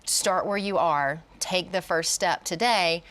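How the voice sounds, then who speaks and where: Female college educator as interview guest, lower voice, encouraging and explanatory. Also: slow, fairly bright tone.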